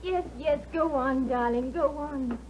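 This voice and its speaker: An actress playing an anxious young wife who is tense, pleading and often near tears, in a 1950s radio drama. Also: female voice, high-pitched, measured pace, darkish tone. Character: anxious young wife, fearful for her husband. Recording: dull 1950s radio broadcast with faint hiss.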